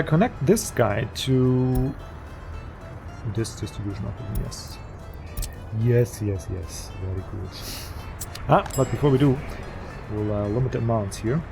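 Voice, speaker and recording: Easygoing man narrating gameplay over music. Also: mid-range voice. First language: not English. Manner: easygoing, jokey, thinks aloud while playing. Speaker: let's play host. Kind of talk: casual gameplay commentary.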